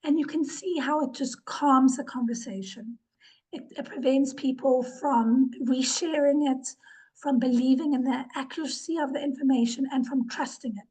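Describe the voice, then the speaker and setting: Woman presenter, ill and hoarse, high and husky, earnest webinar talk with fillers. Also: steady tone, moderate pace, warm tone, low-quality recording. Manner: earnest, repeats words for emphasis, with fillers. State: ill, losing her voice. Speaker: webinar presenter, organization director.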